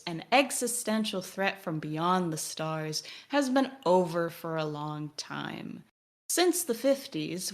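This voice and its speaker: A woman co-hosting a podcast, talking through a video game in an analytical, wordy way as she thinks aloud. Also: lowish voice. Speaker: podcast co-host. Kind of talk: discussion of a video game, partly reading text aloud.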